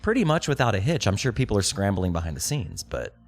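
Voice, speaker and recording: A man's voice: lowish voice, huge pitch swings, quick pace, gravelly. A young adult man hosting a casual podcast, enthusiastic and swearing freely as he chats with his guest. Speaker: podcast host. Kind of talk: casual interview chat.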